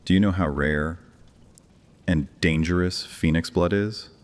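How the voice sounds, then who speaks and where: An actor playing an ancient, imperious vampire high priest in a horror audio drama, commanding, mocking and theatrical. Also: male voice, steady tone, unhurried. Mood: cool condescension. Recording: long cavernous reverb, faint music underneath.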